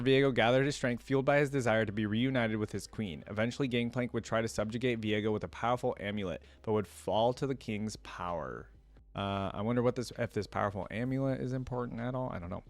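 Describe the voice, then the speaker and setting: A man's voice: medium pitch, no room sound. A man doing casual reaction commentary, reading lore aloud and adding informal, conversational asides. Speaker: reaction video creator.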